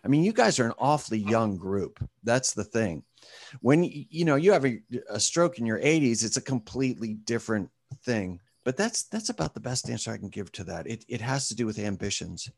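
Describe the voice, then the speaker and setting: A man as an interview guest and author, answering with lively storytelling and speaking to a group. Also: medium pitch, brisk, vocal fry, breathy edge.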